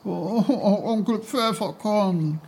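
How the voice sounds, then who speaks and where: A man's voice: on the high side, expressive, slow, dark tone, a little hiss or hum, pitched above the performer's own voice. A man voicing a curious, wide-eyed, tender-hearted little boy in a children's story, eagerly questioning and exclaiming. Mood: upset. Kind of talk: German children's storybook character voice.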